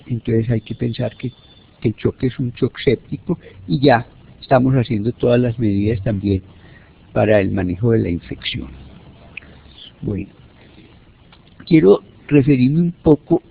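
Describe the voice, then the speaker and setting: A man's voice: medium pitch, creaky. Colombian man lecturing over a phone-like line. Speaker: medical lecturer. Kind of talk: teleconference lecture, explanatory. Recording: narrow, phone-like teleconference audio.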